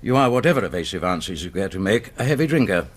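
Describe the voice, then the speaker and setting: Pompous British surgeon, very animated delivery. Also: male voice, low-pitched, quick pace. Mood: stern, wry. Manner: pompous, dry, lecturing. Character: brisk, sardonic, superior male hospital surgeon. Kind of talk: radio drama acting.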